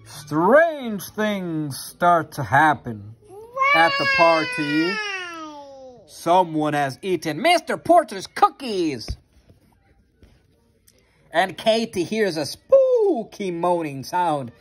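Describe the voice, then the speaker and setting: An expressive reader with a sing-song delivery, reading a children's picture book aloud into a phone at home. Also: mid-range voice, drawn-out words, long pauses, noisy background. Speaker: storybook reader. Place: carpeted playroom at home. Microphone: handheld phone near the reader.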